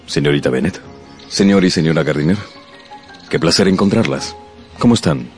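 A reserved, wealthy gentleman suitor in a radio drama. His voice is extremely deep and his address formal and polite, with music behind him. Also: normal speed. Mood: courteous.

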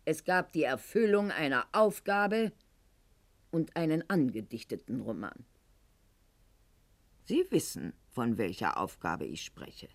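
An actress playing a proud, aging aristocratic wife at court in a radio play, speaking formally and precisely, with haughty theatrical declamation. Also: female voice, very deep voice, sing-song, takes it slowly, long pauses, exaggerated voice. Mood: haughty, dismissive.

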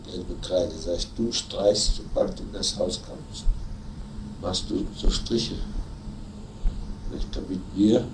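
Male interviewee, lowish voice, colloquial and repetitive storyteller, captured on a roomy archive recording. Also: slow, gravelly, long pauses, background noise. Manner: colloquial, repeats words, vivid storytelling. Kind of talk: casual spoken recollection.

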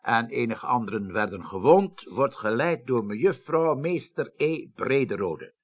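An actor playing a self-important, inquisitive senior official, articulate and formal, in a Dutch radio drama. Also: male voice, medium pitch, expressive, unhurried. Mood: reading aloud.

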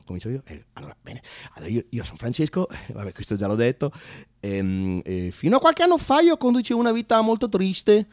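Un attore che fa stammering, overeager high-pitched male zealot. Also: a little mellow, no echo, studio quality. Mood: confessional. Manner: halting, stammering, repetitive, eager. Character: earnest, overexcited young man proselytizing door to door for communism. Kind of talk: comic theatrical monologue.

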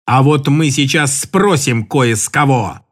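A man voicing a grim, menacing older man who speaks slowly and heavily. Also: mid-range voice, fairly bright tone, pitched below the performer's own voice. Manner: slow, heavy, threatening.

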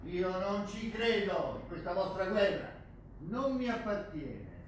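A rugby coach full of bitterness and defiance. He speaks in a fairly high male voice, accusing and confrontational. The sound is distant and very reverberant, as theatre drama captured from afar. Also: sing-song, takes it slowly, full character voice. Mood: bitter, defiant. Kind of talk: theatrical stage drama acting. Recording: very echoey distant stage recording, faint noise.

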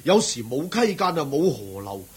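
A man's voice: mid-range voice, big pitch swings, moderate pace, husky. A man acting a kindly, humble roadside tea giver in a Cantonese radio drama, with a chuckling, exclamatory, folksy way of talking. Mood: cheerful, helpful.

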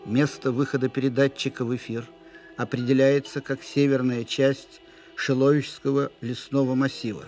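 An actor playing an officer who reads out an urgent military dispatch in an official, measured, impersonal manner for an audio play. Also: male voice, medium pitch, flat delivery, a little mellow, faint music behind, lightly acted. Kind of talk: formal read-out dispatch in a Russian audio play.

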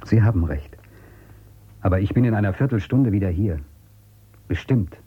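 An actor playing a stern, principled outsider in a radio drama, speaking in a guarded way. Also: male voice, fairly low pitch, expressive, moderate pace, husky. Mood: firm. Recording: dull, lo-fi radio sound.

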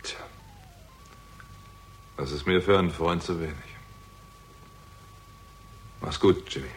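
A man's voice: low-pitched, slow pace, a little hiss or hum. An actor playing a weary, bitter theatrical agent who narrates his own story in a terse, sardonic way in a 1960s German crime radio drama. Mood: bitter, accusing.

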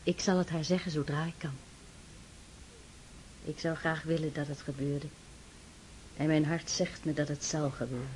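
Woman doing a calm wise noblewoman, radio play, lowish voice, bit of hiss. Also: normal speed, pauses often, close to an everyday voice, low-quality recording. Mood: reassuring. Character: gentle, wise young noblewoman.

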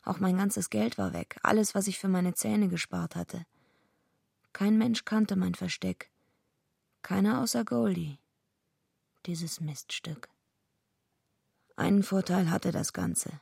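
An actress in a radio drama playing a tough, bitter, defiant female wrestler who narrates her own story, with a gravelly voice and a gruff, blunt manner. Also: lowish voice, slow, pauses often, darkish tone, no room sound, studio quality, exaggerated voice. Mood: grim narration. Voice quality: gravelly, husky. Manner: gruff, blunt, sardonic, swearing. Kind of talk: radio drama, first-person noir narration and dialogue.

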